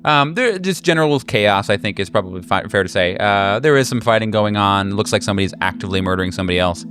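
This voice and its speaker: A man running a tabletop horror game as game master, narrating casually in a halting, slangy, jokey way. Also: on the low side, expressive, music in the background.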